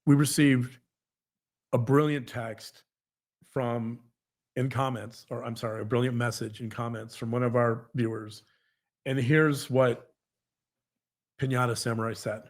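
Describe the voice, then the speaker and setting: A man talking earnestly to a co-host in a halting, repetitive, emphatic conversational monologue. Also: medium pitch, flat delivery. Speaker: podcast co-host, psychologist.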